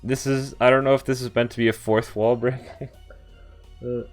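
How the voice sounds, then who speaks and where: A man with a smooth, clear voice at a middle pitch streams a game in a chatty way, drifting into casual asides. Faint music plays behind him. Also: flat delivery, normal speed. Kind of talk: casual livestream commentary, reading visual novel text aloud.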